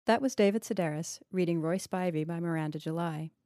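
A woman's voice: on the low side, fairly quick, mellow, no echo, studio quality. A woman hosting a literary podcast, presenting and asking about a short story. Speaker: podcast host, fiction editor.

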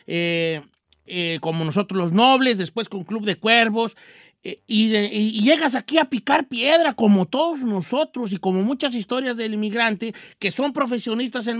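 A high-voiced man hosts, his tone bright and crisp. He rambles casually and jokes, full of fillers. The sound is dry and close. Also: no room sound. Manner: rambling, joking, many fillers. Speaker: radio host. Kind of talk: casual chat.

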